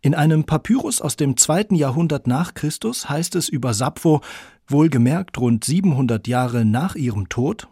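A man with a slightly husky voice narrating a scripted radio feature in an articulate, clearly structured way. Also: fairly high pitch, lively intonation, moderate pace, warm tone, no echo, clean studio sound. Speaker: radio documentary narrator and reporter. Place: dry studio.

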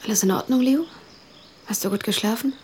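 A woman's voice: on the high side, fairly quick, darkish tone, a little hiss or hum, close to an everyday voice. A young woman playing a blunt, unsentimental teenage girl in naturalistic radio drama dialogue, speaking in a laconic, matter-of-fact way. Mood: casual, brisk. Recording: music bed behind the voice.

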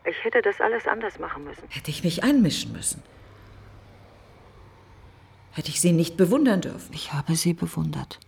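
A woman's voice: lowish voice, lively intonation, fairly quick, vocal fry, husky, exaggerated voice. An actress playing a grieving, self-accusing woman who broods in fragmented questions in a literary radio play monologue. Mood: brooding. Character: grieving, self-accusing woman mourning her best friend.

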